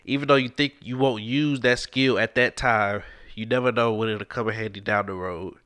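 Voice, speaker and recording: A man's voice: fairly low pitch, fairly even intonation, normal speed, fairly bright tone, no echo, clean studio sound. A man, hosting a casual podcast with a stuffed-up, congested nose, earnest and encouraging and sliding into preacher-like exhortation. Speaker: podcast host.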